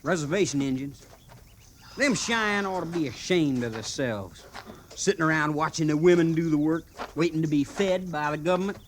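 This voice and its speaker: An American actor playing a restless, hot-headed, defiant frontier cavalry private in a 1950s Western radio drama. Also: male voice, on the high side, moderate pace, natural voice. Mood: restless, scornful. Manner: folksy, defiant, needling. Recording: noisy old-time radio recording.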